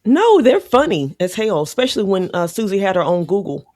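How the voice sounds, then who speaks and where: A woman's voice: medium pitch, big pitch swings, a little fast, darkish tone. A woman in her late forties hosting a podcast, chatting candidly, laughing often and swearing casually. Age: 40s. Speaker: podcast host. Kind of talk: casual chat.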